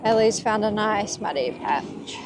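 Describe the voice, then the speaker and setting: A woman's voice: medium pitch, animated, unhurried, background noise. A woman co-presenting a vlog in casual chat out on a river foreshore.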